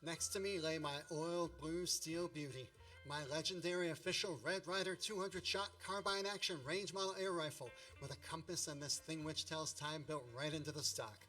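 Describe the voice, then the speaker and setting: A man's voice: on the high side, fairly even intonation, normal speed, pushed and bright, far from the mic, faint music behind, low-quality recording. An actor playing a grown man who narrates his boyhood memories in a radio play, wry, nostalgic and grandiloquent.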